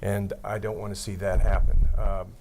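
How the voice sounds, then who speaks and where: A man's voice: fairly low pitch, flat delivery, moderate pace, warm tone, slight background noise, low-quality recording. A man in his sixties, an attorney, testifying methodically before a legislative committee at a table microphone. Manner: earnest, methodical, reads legal language aloud. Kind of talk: formal testimony.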